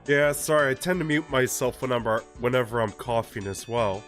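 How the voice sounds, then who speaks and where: A young man with a congested, stuffy voice reads a story aloud on a relaxed live stream, chatting between passages with easy laughs and plenty of fillers. Soft music plays faintly behind him. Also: medium pitch, a little fast. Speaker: streamer reading a visual novel aloud. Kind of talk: live-streamed casual reading and chat.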